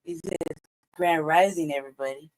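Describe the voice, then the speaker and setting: A man's voice: fairly high pitch, very animated, normal speed, poor audio. A man hosting a live stream, chatting loosely about the clips he is playing and often dropping to a near whisper. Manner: loose and rambling, drops to a near whisper at times. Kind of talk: casual commentary reacting to videos.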